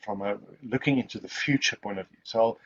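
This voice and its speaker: A man's voice: on the low side, fast talker, fairly bright tone, a little hiss or hum. A man whose first language is Afrikaans, answering webinar questions in a rambling way with many restarts. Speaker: guest speaker. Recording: muffled lo-fi call audio.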